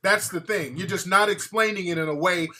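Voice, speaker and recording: A man's voice: sits high, fairly quick, sharp tone. A man hosting a call-in talk show, questioning a caller bluntly and impatiently, often repeating himself. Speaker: radio talk show host.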